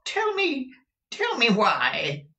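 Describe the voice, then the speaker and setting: Storybook old miser, male, voiced by a man, high and shrill, crying out in terror, loud bursts. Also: huge pitch swings, drawn-out words, vocal fry, roomy sound, recorded from a distance, full character voice. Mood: terrified. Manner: high, cracking cries of terror.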